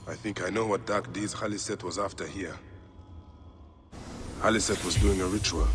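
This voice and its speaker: A low-voiced, grim avenging warrior. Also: male voice. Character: grim, determined avenging warrior, a grieving father. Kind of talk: dramatic video game acting.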